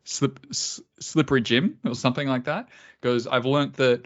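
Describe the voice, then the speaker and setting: Aussie bloke hosting a podcast, jokey and laughy, kinda dull muffled call audio. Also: male voice, mid-range voice, moderate pace. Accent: Australian. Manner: joking, laughs often, swears. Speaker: podcast host. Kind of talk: casual chat.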